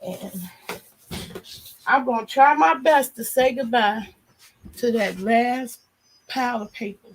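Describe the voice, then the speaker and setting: Woman vlogger, hoarse and nasal, gravelly and slightly high; rambling, frank chat to her viewers. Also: slow, pauses often, slight background noise, poor audio. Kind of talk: casual chat to a live audience.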